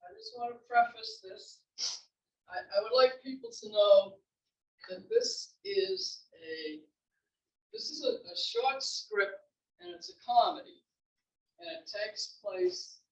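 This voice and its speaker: A woman's voice: mid-range voice, big pitch swings, takes it slowly, pauses often. Woman playwright speaking in echoey room. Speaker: playwright introducing her work. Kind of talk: informal introductory speech. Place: library room before an audience. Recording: echoey room, distant mic.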